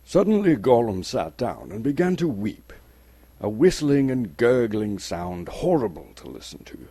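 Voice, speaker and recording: A man's voice: mid-range voice, sing-song, a little slow, warm tone. A man from Canada giving a dramatic scripted narration of a fantasy story as an actor and storyteller. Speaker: storyteller, actor reading a novel.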